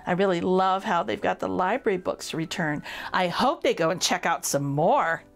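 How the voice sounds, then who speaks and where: A woman reads a picture book aloud for children in an expressive, playful way, addressing the young listeners directly. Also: fairly low pitch, moderate pace, creaky, faint music behind.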